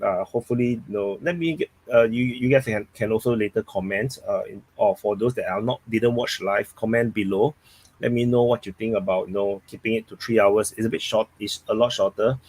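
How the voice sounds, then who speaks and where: A man's voice: on the low side, a little mellow. A man with a Singaporean accent hosting a live stream, rambling and thinking aloud while he reads viewers' chat.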